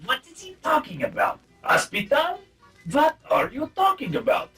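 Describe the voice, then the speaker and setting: Squeamish confused henchman, high-pitched male, very animated, comic radio play, music behind. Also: normal speed, recorded from a distance, full character voice. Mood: exasperated, shifty. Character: fussy, squeamish, easily confused henchman villain.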